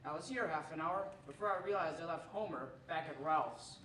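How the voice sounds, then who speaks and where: A man's voice: on the high side, a little fast, sharp tone, recorded from a distance. A man playing a folksy, aw-shucks cowboy game warden and husband in an old-time radio commercial staged live. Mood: sluggish, sheepish. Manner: folksy, aw-shucks country talk. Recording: roomy, noisy stage recording from afar.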